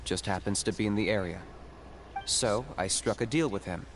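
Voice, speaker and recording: Casting: lowish, clipped voice for a man playing a cool young hunter, faint game noise behind. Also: moderate pace, close to an everyday voice. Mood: matter-of-fact. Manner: terse, matter-of-fact.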